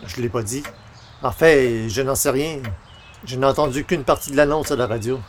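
Radio comedy straight man male actor: courteous, dry, hesitant columnist, medium pitch, Quebec accent. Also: moderate pace, slight background noise, lightly acted. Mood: sceptical. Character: mildly sceptical, polite newspaper columnist, the straight man. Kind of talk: 1940s radio comedy acting.

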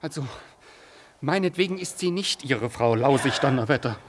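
Man acting an impatient hothead young man, very animated, noisy recording. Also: medium pitch, moderate pace, close to an everyday voice. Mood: exasperated. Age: young adult. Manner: urgent, curt commands, exasperated. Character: impatient, hot-tempered, bossy, mocking young man. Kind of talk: comic radio play acting.

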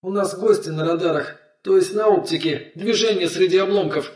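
A man voicing an alert female crewmate in an audiobook, giving brisk, urgent reports as if over a ship's intercom. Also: sits high, voice pushed up, lightly acted. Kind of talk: audiobook character voice. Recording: echoey, roomy sound.

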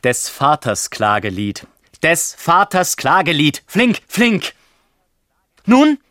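An actor playing a genial author narrating his family history in a German radio play, theatrical, measured and wry. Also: on the high side, huge pitch swings, pushed and bright, dry recording, studio quality, exaggerated voice. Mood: impatient.

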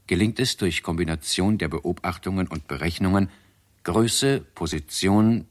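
A man narrating a 1960s German radio drama in a formal, measured, factual way. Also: low voice.

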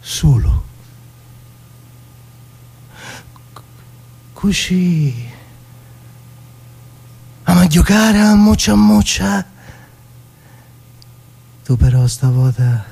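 A man acting a naive, emotional street youth from Palermo in a theatrical radio monologue, with a Sicilian accent and a childlike, halting manner. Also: on the high side, gravelly, mellow, husky, heavily acted. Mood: lonely, playful. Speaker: stage actor performing a monologue.

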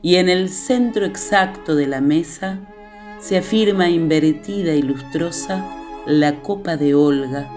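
A solemn Argentine woman narrator in a radio drama. Her voice is low and dark, and she delivers each poetic phrase slowly and with weight. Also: faint music behind. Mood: grave.

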